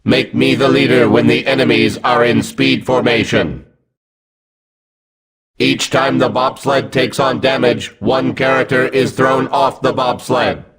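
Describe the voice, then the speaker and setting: A man's voice: mid-range voice, steady tone, measured pace, fairly bright tone, close to an everyday voice, rough recording. A man playing a terse, emotionless combat robot in a video game, with clipped mechanical delivery. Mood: flat, matter-of-fact. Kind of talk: video game tutorial voice lines.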